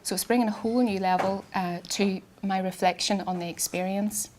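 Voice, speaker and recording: A woman, an artist giving a public talk in a quiet, soft voice, hesitant with many ums and restarts, and she has a cold. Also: medium pitch, steady tone, a little fast, slight background noise. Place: garden event space with sound system.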